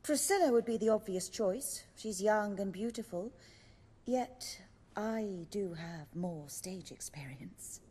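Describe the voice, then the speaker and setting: A woman playing a refined, self-assured stage actress and director in a video game, speaking in a measured, articulate, theatrical way. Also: mid-range voice, animated, dark tone, in a large hall, slight background noise. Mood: poised. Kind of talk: video game dialogue acting.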